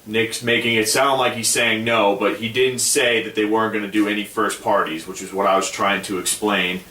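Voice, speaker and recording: A lowish, bright-toned man chats easily on a gaming podcast, circling his point with plenty of "like" and "I mean". He sits a little back from the mic in an echoey room. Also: flat delivery, moderate pace, distant mic. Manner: easygoing, argues his point with many fillers. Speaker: podcast co-host. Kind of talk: casual gaming podcast chat.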